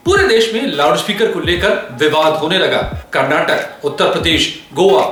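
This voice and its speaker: A man's voice: sits high, a bit bright. A man narrating scripted news commentary as a channel host, with an emphatic, dramatic delivery. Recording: heavy echo, music bed underneath. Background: background music.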